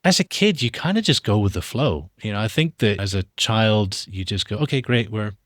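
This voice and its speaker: A man with a soft, international Scottish lilt, telling stories conversationally as an interview guest. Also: fairly low pitch, animated, fairly quick, no room sound, studio quality. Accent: soft Scottish lilt mixed with international. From Australia, Dubai and Texas, raised internationally. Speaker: podcast guest, documentary filmmaker.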